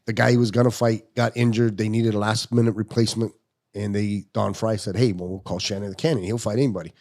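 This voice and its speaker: A man's voice: fairly low pitch, fast talker. A man in his fifties, a podcast guest telling stories in casual chat and acting out the quotes. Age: 50s. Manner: animated storyteller, acts out quotes.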